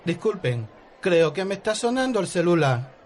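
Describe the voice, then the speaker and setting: A man's voice: sits high, very animated, moderate pace, darkish tone, slight background noise. A man playing a formal, self-important investigation leader in a parody radio soap opera. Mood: businesslike.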